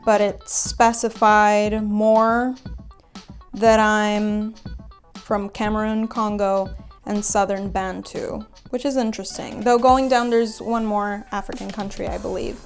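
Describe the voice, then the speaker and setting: A young woman from Puerto Rico, chatting casually and thinking aloud as she reads out her test results. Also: fairly high pitch. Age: young adult. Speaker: vlogger. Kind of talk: casual reading and commentary on DNA results.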